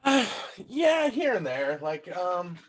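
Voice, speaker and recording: A man's voice: sits high, sing-song, slow. A man in his thirties from Texas, whose first language is German, talking in a rambling, casual way as a comedian guest on a podcast, swearing freely.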